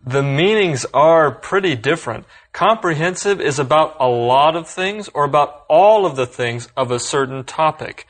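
A man calmly explains English words in a scripted lesson. His voice sits a little high. He sounds even and teacherly. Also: moderate pace, few pauses. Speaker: co-host.

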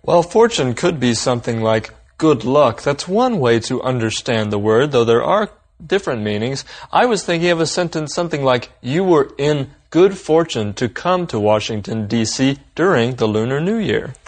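A man leading a scripted language lesson, guiding the others with even questions. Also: medium pitch, moderate pace.

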